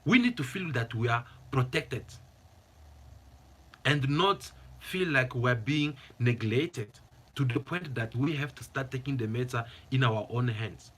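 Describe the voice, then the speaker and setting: A husky, slightly breathy man delivers an opinionated vlog commentary. English is not his first language, and he talks with fervent emphasis, often saying a line twice to drive the point home. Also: medium pitch, measured pace, a bit bright. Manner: passionate, emphatic, repeats phrases for stress. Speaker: channel host, commentator.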